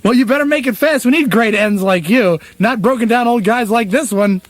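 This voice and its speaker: A man's voice: extremely high pitch, a little fast, no echo. A man playing a brash, chummy young college graduate in a parody radio play. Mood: brash, jovial.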